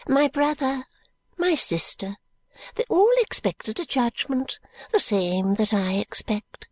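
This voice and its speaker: A woman voicing a frail, rambling old woman who talks in high fluttering swoops and drops into secretive whispers. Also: sing-song, slow, darkish tone, dry recording, full character voice. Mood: wistful.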